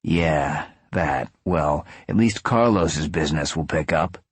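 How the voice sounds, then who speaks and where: A man's voice: very deep voice, slow pace, slightly rough, no echo, exaggerated voice. A man playing a wry, blunt male antihero with a casual way of talking in an audiobook.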